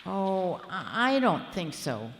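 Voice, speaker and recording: A woman playing a patient, gently teasing middle-aged wife and mother in a live radio play. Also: fairly low pitch, slow, a little hiss or hum, exaggerated voice. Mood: soothing. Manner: calm, soothing, dry. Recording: echoey hall with long reverb.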